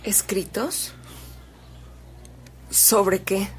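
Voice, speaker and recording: A woman's voice: mid-range voice, drawn-out words, a little hiss or hum. An actress playing a courteous, inquisitive woman in a Mexican radio drama, with a polite, questioning manner. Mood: questioning, intrigued.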